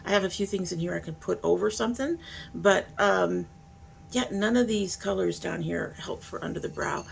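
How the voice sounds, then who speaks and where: A woman's voice: on the low side, moderate pace, creaky, slight background noise, low-quality recording. A woman talking informally to camera as a beauty vlogger, thinking aloud in a casual makeup review.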